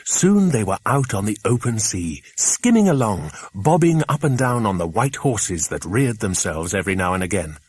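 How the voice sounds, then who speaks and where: A man reading a children's adventure audiobook, an expressive scripted narration. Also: lowish voice, moderate pace, hardly any pauses, husky.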